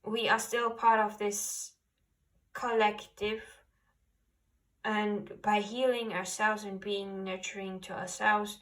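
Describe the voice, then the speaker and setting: A woman tarot reader who speaks English as a second language. She talks slowly and haltingly, searching for her words as she guides listeners through a spiritual card reading. The microphone sits some distance away from her. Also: medium pitch, a bit bright. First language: not English.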